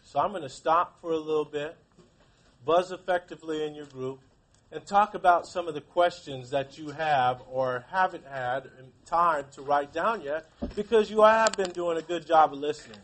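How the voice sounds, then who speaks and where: A man's voice: a little high, normal speed, fairly bright tone. A man teaching a class, reading aloud and prompting pupils with questions. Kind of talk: interactive classroom read-aloud and discussion.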